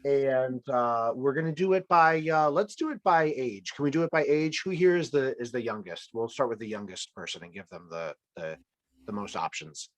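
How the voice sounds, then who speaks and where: A man's voice: mid-range voice, normal speed. Playful man hosting over a video call. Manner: playful, teasing, rambling with restarts. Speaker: host. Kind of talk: casual video-call game show hosting.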